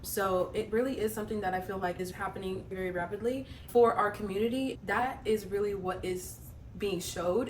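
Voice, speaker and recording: A young adult woman speaking reflectively and in a measured way as a podcast co-host. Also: lowish voice. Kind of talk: casual chat. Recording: distant echoey mic, faint noise.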